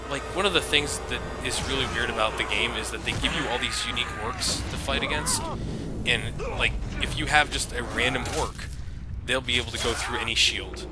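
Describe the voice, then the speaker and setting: A man's voice: mid-range voice, fairly quick. A man casually commentating a video game, chatty and explanatory. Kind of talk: casual let's-play chat. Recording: commentary over loud game music.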